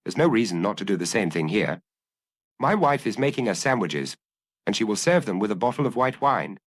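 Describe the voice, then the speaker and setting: A man voicing a patient, humane police detective who questions calmly and courteously in a dramatic audiobook reading. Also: on the low side, brisk, no room sound. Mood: hospitable.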